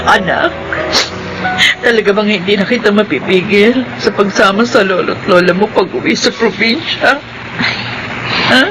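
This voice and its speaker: A woman's voice: mid-range voice, normal speed, long pauses, close to an everyday voice, rough recording. An actress playing a remorseful, loving middle-aged mother in a Filipino radio drama, pleading and emotional. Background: music.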